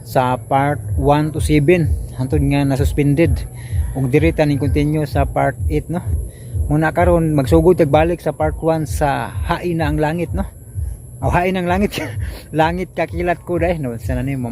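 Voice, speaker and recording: A man's voice: on the high side, fairly even intonation, moderate pace, dark tone, a little hiss or hum. A man with a Cebuano accent hosting casually, rambling to listeners and often ending phrases with a questioning tag. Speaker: host introducing archived radio dramas.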